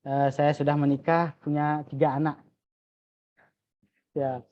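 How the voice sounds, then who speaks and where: A woman's voice: deep voice, flat delivery, measured pace. A woman in her thirties speaking at a webinar, answering questions over a video call in a friendly way. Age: 30s. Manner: friendly, laughs easily. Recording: dull lo-fi call audio.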